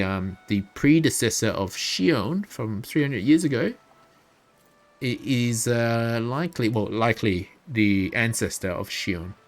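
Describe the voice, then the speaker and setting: A male game streamer with a mid-pitched voice, commenting live on a playthrough. He talks casually, thinking aloud as he goes, with game music behind him.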